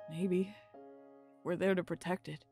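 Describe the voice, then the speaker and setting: Soft-spoken young sidekick for audio drama, played by a woman; lowish voice, loyal, faint music. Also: fairly even intonation, a little slow, husky. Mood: shy, gentle.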